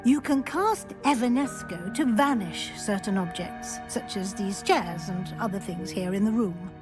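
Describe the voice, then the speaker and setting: A woman playing a brisk, kindly professor. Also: medium pitch, close to an everyday voice. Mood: instructive. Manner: crisp teacherly instruction. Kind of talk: video game voice acting. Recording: game soundtrack with clear music.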